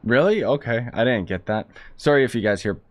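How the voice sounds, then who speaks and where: A man's voice: medium pitch, lively intonation, brisk. A young man giving casual, sarcastic, slangy commentary as a gaming streamer. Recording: game music behind the voice. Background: game music.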